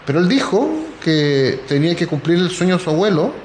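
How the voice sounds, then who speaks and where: A man's voice: fairly high pitch, animated, fairly quick, a little mellow. A man hosting a casual football talk, with many fillers. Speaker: podcast host. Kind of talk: casual chat. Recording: echoey room with faint noise.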